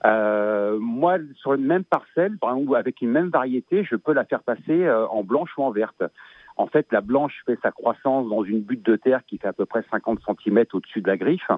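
A Belgian man in his fifties, a farmer guest on a radio show, talking haltingly with many fillers and restarts. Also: medium pitch, lively intonation, dry recording. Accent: Belgian French. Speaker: asparagus grower guest. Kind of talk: radio interview, casual chat. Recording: tinny phone line.

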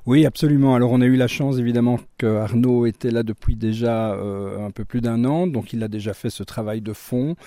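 A man's voice: medium pitch, fairly even intonation, brisk, hardly any pauses, dark tone, dry recording. A middle-aged man with a Belgian French accent, speaking casually as a chef being interviewed. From Brussels, Belgium.

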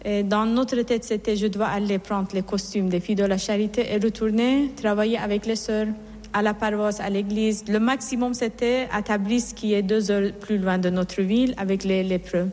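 A woman's voice: medium pitch, steady tone, moderate pace, darkish tone. A middle-aged woman from Iran, a nun, telling her life story in an interview in an earnest, reflective way, often restarting her sentences. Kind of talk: personal testimony in an interview. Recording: long hall reverb.

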